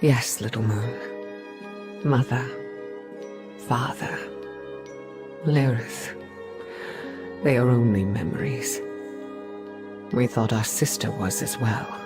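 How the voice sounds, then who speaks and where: An actress playing a wistful elven elder sister in a game cinematic, measured, gentle and formal. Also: female voice, very deep voice, steady tone, music in the background.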